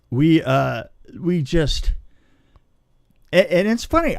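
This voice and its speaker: A man with a fairly high voice tells behind-the-scenes production stories in a loose, conversational way. He voices what other people said as he goes. The sound is dry and close. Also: no room sound. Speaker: guest telling stories of film and TV production work. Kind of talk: casual anecdote telling.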